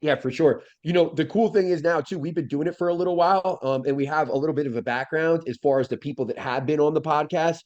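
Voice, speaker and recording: A man's voice: fairly high pitch, fast, few pauses, a little mellow, no echo, lo-fi sound. Congested man rambling on a dry call. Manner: rambling, swearing, lots of fillers and restarts. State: congested nose. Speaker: podcast co-host. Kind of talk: casual podcast chat. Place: home, recorded over video call. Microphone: video call.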